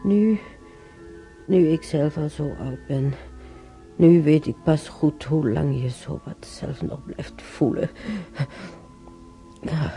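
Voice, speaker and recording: An actress playing a regretful old mother in a radio drama, halting and brooding, with a husky, creaky voice. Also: low-pitched, dark tone, faint music behind. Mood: regretful, musing. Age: older. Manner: halting, brooding, repeating herself. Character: regretful old mother remembering beating her stubborn son.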